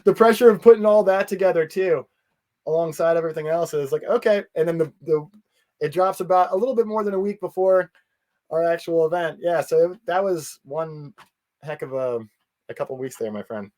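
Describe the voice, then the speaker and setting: A man's voice: a little high, fairly quick, darkish tone. Earnest, rambling man in casual interview. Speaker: interview guest, convention organizer.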